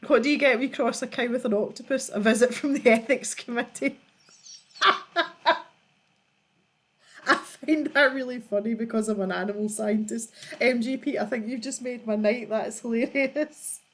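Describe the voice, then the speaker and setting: A woman's voice: fairly high pitch, moderate pace. A woman hosting a casual livestream, reading out viewer jokes and laughing often as she chats. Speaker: livestream host.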